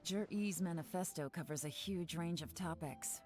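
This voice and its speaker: A woman voicing a sharp-minded female investigator in a video game, calm and measured as she reasons things through. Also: lowish voice, natural voice. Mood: reasoning. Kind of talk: game voice acting. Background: game music.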